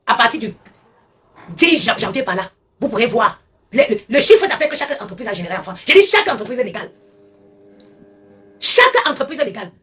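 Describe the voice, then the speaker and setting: A woman's voice: fairly high pitch, fairly bright tone, breathy edge. A woman ranting and lecturing on her live stream, talking emphatically and repeating her points, recorded on a phone. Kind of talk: animated live-stream rant.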